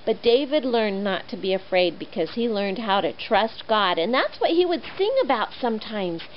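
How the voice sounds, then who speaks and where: A woman telling a Bible story to children in an animated, expressive way, on a muffled recording with faint noise. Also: mid-range voice, normal speed.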